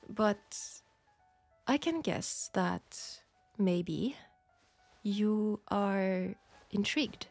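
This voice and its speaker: A woman playing a candid, proud French seamstress in a steampunk audio drama, speaking with a French accent in a formal, deliberate way. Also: medium pitch, darkish tone, no echo. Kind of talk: intimate scripted audio roleplay.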